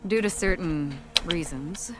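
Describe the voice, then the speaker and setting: Actress as enigmatic exile, low female voice, grave, calm, formal, video game, background music. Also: measured pace, natural voice. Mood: calm, solemn.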